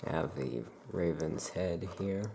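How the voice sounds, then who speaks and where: Slow, low, creaky soft-spoken ASMR shopkeeper. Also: a little hiss or hum. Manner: gentle, unhurried, hushed delivery. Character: calm Salem witch shopkeeper welcoming customers. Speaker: ASMR host playing a shopkeeper. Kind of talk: soft-spoken ASMR roleplay, product showcase.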